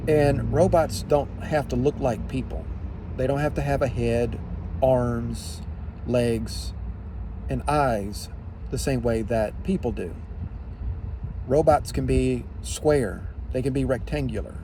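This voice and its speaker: A man giving an unscripted opinion monologue in deliberate, halting phrases, with emphatic repetition. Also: mid-range voice, background noise.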